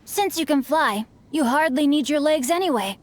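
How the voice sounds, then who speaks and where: Casting: a woman as a polished young male noble, fairly high voice, archaic phrasing, sly humor. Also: expressive, moderate pace, noisy background. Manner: formal, archaic wording, dry teasing wit. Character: witty bookish young noble heir, male, playful. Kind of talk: game cutscene voice acting.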